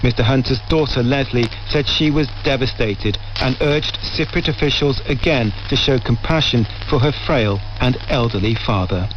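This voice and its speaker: A man's voice: mid-range voice, normal speed, few pauses. Male reporter on muffled, noisy radio. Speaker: news reporter. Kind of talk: scripted news report. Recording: dull, noisy shortwave radio relay.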